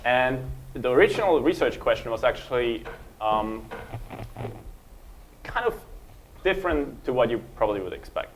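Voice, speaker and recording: Man giving a relaxed talk. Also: mid-range voice, normal speed, pauses often, a little hiss or hum, poor audio. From Switzerland. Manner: relaxed, self-deprecating, frequent um and uh. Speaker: panel speaker. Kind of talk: conference talk.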